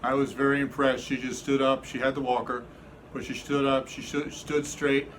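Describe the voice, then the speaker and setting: A man speaking from across a hospital room, giving plain, flat remarks. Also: mid-range voice, normal speed. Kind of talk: casual remarks off camera. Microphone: away from the mic, off camera. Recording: distant voice in noisy room.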